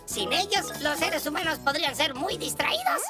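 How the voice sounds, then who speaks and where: A woman's voice: high-pitched, wildly swooping pitch, normal speed, over loud music. A woman voicing an instructive, orderly cartoon teacher who explains things didactically in a children's educational dub. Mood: explanatory.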